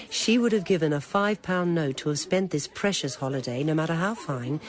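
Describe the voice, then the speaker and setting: A woman narrating a dramatic scripted story in a deliberate, literary manner. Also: deep voice. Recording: noisy old radio recording.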